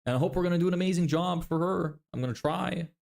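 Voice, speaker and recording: A man's voice: high voice, fast talker, gravelly, darkish tone. Young man, from Lithuania, American-sounding accent, casual streamer chat, self-deprecating, swears. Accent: American-sounding English. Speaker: livestreamer building a business. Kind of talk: casual livestream chat reading viewer comments.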